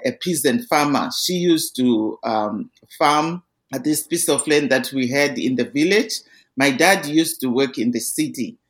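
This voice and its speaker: A middle-aged woman from Zimbabwe with a Zimbabwean accent, earnestly recounting her life story as a podcast guest over a remote call. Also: rumbling low. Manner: earnest, steady storytelling with small restarts. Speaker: interview guest.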